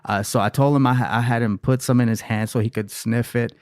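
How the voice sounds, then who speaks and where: A young man hosting a casual podcast chat in a studio, animated and quick to repeat words as he starts his sentences. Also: medium pitch, no room sound. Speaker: podcast host.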